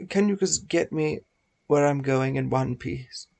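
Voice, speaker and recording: A woman playing a grumpy, exasperated older gentleman in a comic radio play. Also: very deep voice, measured pace, gravelly, heavily acted.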